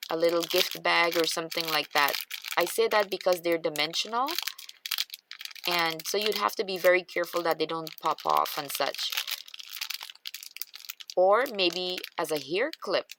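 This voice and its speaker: A woman with a Guyanese accent and a somewhat low voice, rambling cheerfully through a show-and-tell of her craft stash. She thinks aloud, restarting and trailing off mid-sentence. The home recording carries clear background noise and the crinkle of handled packaging. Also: moderate pace, pauses often. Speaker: craft channel host.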